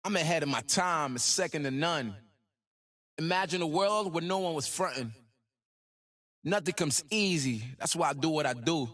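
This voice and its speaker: A man's voice: on the high side, expressive, moderate pace, fairly bright tone. An actor voicing a cocky, ambitious young male hip-hop star in a video game, with swaggering, slangy, rhythmic delivery. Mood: confident, driven. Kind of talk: game voice lines.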